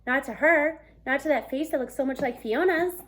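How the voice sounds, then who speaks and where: A woman in her thirties reading a novel aloud in an expressive, storytelling style, acting out the dialogue. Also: high-pitched, normal speed. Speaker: read-aloud book reader. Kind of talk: expressive children's audiobook-style read-aloud. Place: small room at home, indoors.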